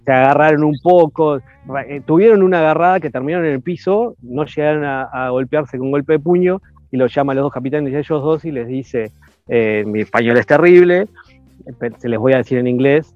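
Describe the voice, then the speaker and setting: Man giving measured rugby analysis, dry. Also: fairly high pitch, dry recording, faint music behind. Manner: analytical, measured, many hesitations. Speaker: rugby podcast guest. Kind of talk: casual chat. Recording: dry, dull lo-fi sound.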